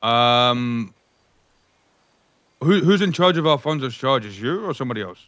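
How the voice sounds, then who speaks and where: A man's voice: medium pitch, lively intonation, measured pace, a bit bright, dry recording. A man playing a cocky crime boss in an improvised roleplay argument, often repeating his words and phrases. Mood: cocky, pressing. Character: cocky male crime boss bargaining from prison.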